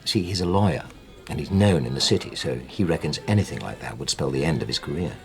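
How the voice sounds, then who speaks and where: Radio play lead: a guarded, resolute middle-aged man, low voice with expressive swings, understated delivery. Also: fast, vocal fry, soft background music, exaggerated voice. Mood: measured, determined. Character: determined, politely guarded man hunting his blackmailer. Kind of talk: naturalistic radio drama acting.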